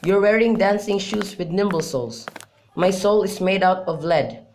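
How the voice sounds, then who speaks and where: A man's voice: sits high, moderate pace. A young man in an amateur radio drama, playing a melancholy, lovesick youth in an earnest, mournful manner. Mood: glum. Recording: clearly noisy amateur recording with clicks.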